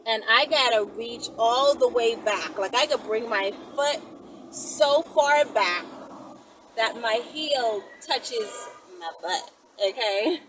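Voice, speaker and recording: A woman chatting casually as a channel host answering questions, animated and emphatic, often checking in with "right?". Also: a little high, takes it slowly. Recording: distant mic, loud vehicle-like background noise.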